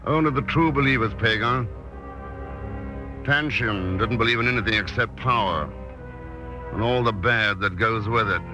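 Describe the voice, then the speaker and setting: An actor playing a steady, decisive adventurer in a 1940s radio drama, with a clipped, commanding manner. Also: male voice, on the low side, normal speed, long pauses, close to an everyday voice. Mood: grave. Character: steady, decisive male adventurer taking charge in danger. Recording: muffled vintage radio broadcast with music.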